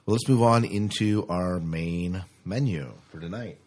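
A man's voice: low voice, a little slow, darkish tone. A man hosting a podcast, chatting casually and a little hesitantly as he reviews films. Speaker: podcast host. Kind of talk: casual film review chat.

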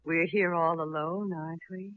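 An actress playing a seductive, demanding showgirl diva with a quick temper, coaxing and imperious, in a radio comedy. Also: lowish voice, steady tone, lightly acted. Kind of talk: mid-century radio comedy acting. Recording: muffled, noisy old radio recording.

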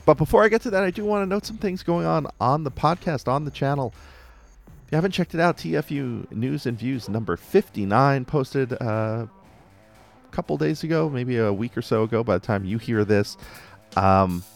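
A man's voice: mid-range voice, expressive, normal speed, creaky. A man hosting a casual fan podcast, recapping cartoon episodes in an enthusiastic, conversational way. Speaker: podcast host. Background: music under the voice.

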